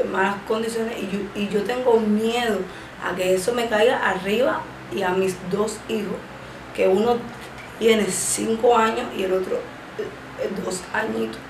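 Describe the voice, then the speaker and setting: A young woman in her twenties with a Cuban accent, speaking haltingly with a stammer and many drawn-out fillers while giving personal testimony in an interview. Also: medium pitch. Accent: Cuban Spanish. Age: 20s. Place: small indoor room, seated. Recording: echoey room with background noise.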